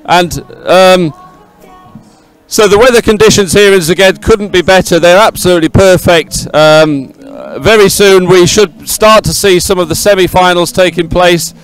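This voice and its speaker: A man's voice: high voice, moderate pace, clear, resonant tone, faint music behind, poor audio. A man presenting a live sporting event in unscripted chat, effusive and repeating words for emphasis. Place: outdoors at a busy regatta venue.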